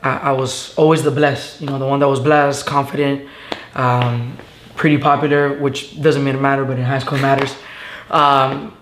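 A young man from Venezuela speaks earnestly, stopping often to gather his thoughts. His voice sits at an ordinary pitch and bounces around a bare living room. A faint hiss sits under the recording. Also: little pitch movement, fairly bright tone, reverberant. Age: young adult. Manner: earnest, halting with many fillers. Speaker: guest. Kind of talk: casual testimony interview.

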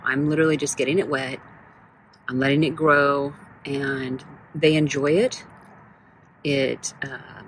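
A woman's voice: slight background noise, poor audio. Chatty woman filming her backyard hens. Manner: chatty, talks to her hens in a high playful voice. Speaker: backyard chicken keeper vlogger. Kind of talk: casual first-person vlog narration. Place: outdoors in a backyard chicken run. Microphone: handheld phone camera, speaker off screen.

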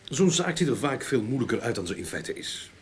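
An actor playing a self-assured, courteous private detective in a radio play, polite and persistent. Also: male voice, medium pitch, big pitch swings, brisk, lightly acted. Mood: musing, confident. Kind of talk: Dutch-language radio play acting. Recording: noisy old radio drama recording.

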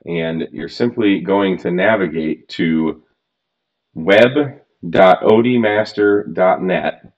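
Man, 30s, very low voice, casual hobbyist tutorial host, frank and chatty, slightly muffled desk-mic sound. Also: slow. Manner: chatty, frank, mildly exasperated asides. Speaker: radio gear reviewer. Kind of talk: casual screen-recorded tutorial. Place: home workbench room.

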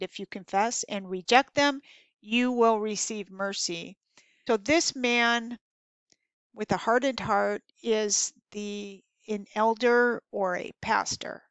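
A woman giving an earnest devotional talk that interprets a dream, reading scripture aloud and pausing on fillers. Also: medium pitch, a bit bright, smooth, no echo.

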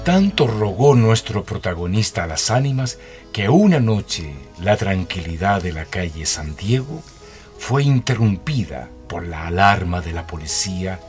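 An actor playing a wry, folksy narrator with a Chilean accent in a radio drama. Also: male voice, low-pitched, big pitch swings, measured pace, a little mellow, soft background music. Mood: wry storytelling. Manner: theatrical, wry. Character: wry, folksy male storyteller.

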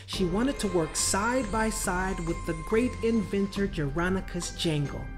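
A man reading a children's story aloud as narrator, dramatic and deliberate, drawing out key words. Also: high-pitched. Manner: expressive, deliberate phrasing with drawn-out words. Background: music.

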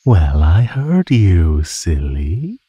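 Man voicing a very deep, teasing predatory naga. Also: very animated, dark tone, husky, dry recording, exaggerated voice. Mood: amused teasing. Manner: slow, drawling, mockingly sweet belittlement. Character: teasing, predatory male naga toying with captive prey. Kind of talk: ASMR roleplay, scripted monologue.

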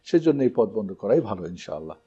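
A muffled, lo-fi recording carries a man's low, dark voice. He is husky and speaks as an Islamic scholar in a question-and-answer talk, teaching firmly and stressing key phrases. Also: sing-song, fast talker.

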